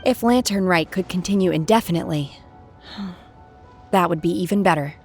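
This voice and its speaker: Lady doing a soft shy young woman for an anime game, lowish voice, gentle and earnest. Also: normal speed, faint music behind, close to an everyday voice. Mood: shy, tender. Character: gentle, reserved young woman, unused to human company.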